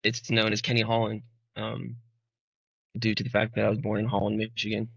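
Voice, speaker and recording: A man from Arizona, a musician guest, answering interview questions in a halting, emotional way with many fillers. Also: lowish voice, completely flat delivery, vocal fry, slightly rough, no room sound. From Arizona, United States. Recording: dull, muffled sound.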